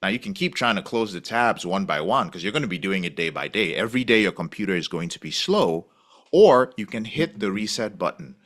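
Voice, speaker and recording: A man who is a podcast guest, talking in an animated, casual way and acting out the voices he quotes. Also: fairly low pitch, brisk. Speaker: podcast guest, recovery program founder.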